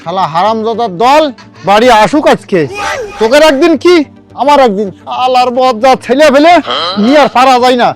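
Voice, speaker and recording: A man's voice: extremely high pitch, normal speed, faint music behind. A man playing an exasperated village parent in a comedy drama, scolding and grumbling about naughty children. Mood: grumbling, cross. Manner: scolding, muttering complaints.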